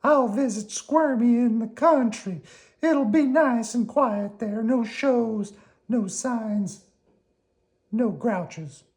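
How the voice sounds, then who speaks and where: A woman's voice: on the high side, a little mellow, voice pushed up. A woman voicing a small, eager male worm in a children's storybook, exclaiming and stretching out words, easily spooked. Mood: hopeful. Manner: exclaiming, wide-eyed, drawn-out words.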